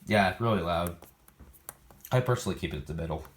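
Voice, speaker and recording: A man's voice: deep voice, a little fast. A man casually showing off his weather radio collection, rambling and thinking aloud as he goes. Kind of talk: casual product demo vlog. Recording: noisy recording with clicks.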